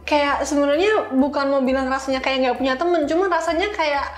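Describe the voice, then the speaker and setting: A woman's voice: high-pitched, a little fast. A young woman telling stories casually as an interview guest, chatty and slangy with English words mixed in. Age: young adult. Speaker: interview guest, iOS developer. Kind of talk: casual conversational storytelling. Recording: roomy, echoey sound.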